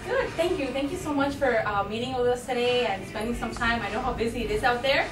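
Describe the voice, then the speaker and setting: A woman's voice: fairly high pitch, fast. A woman conducting a conversational interview, asking questions and responding to the answers. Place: busy department store event. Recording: echoey, distant, music behind. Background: music.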